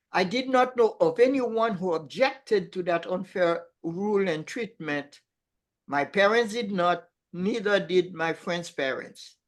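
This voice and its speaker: Older man giving a measured talk. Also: high-pitched. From Haiti. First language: Haitian Creole. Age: 60s. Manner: measured, careful, with restarts on words. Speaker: webinar speaker. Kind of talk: prepared talk read aloud.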